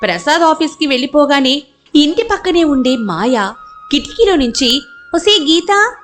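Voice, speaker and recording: High-pitched woman storyteller. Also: animated, moderate pace, soft background music. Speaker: story narrator. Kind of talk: scripted dramatic story narration.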